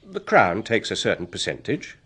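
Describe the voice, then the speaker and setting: An actor playing a courteous, unflappable family solicitor in a British radio drama, with an English accent and a formal, explanatory manner. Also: male voice, on the low side, huge pitch swings, moderate pace, fairly bright tone, slightly rough, exaggerated voice. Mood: measured, matter-of-fact.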